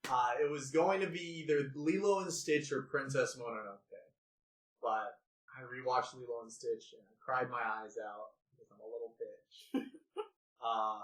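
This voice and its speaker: A man's voice: mid-range voice, steady tone, moderate pace, fairly bright tone. A man from Hawaii chats as co-host of a film podcast. His mid-range voice sits back from the microphone in an echoey room. He is informal, sweary and self-mocking. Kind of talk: casual chat about favorite films. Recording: echoey room, distant from mic.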